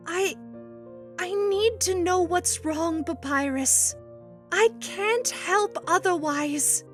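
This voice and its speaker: Woman playing a doting spider monster mother, high-pitched, unhurried, earnest and firm, comic dub, music underneath. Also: fairly bright tone, full character voice. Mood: worried, scolding. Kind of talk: amateur comic dub voice acting.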